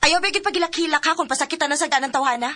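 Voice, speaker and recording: A woman's voice: high voice, rapid-fire, very noisy, natural voice. A woman playing a blunt, protective, exasperated woman scolding in a radio drama, with a Cebuano accent. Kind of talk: Philippine radio drama acting.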